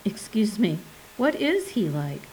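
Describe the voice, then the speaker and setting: A woman's voice: mid-range voice, big pitch swings, a little slow, a little mellow, a little hiss or hum, low-quality recording. A woman voicing a kindly female geologist who asks polite, curious questions.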